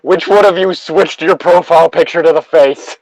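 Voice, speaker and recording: A man's voice: fairly high pitch, steady tone, moderate pace, darkish tone, dry recording, low-quality recording. A man bantering casually and reading a story aloud with friends over voice chat. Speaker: co-reader on a group creepypasta reading show. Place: online voice chat.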